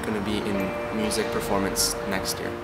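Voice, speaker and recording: A young man whose first language is French talks through his past in a low, flat voice. He stops often to search for words, and music runs loudly behind him. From Canada. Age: young adult. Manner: halting, many ums, even and flat delivery. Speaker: student. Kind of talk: personal story told to camera. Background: background music.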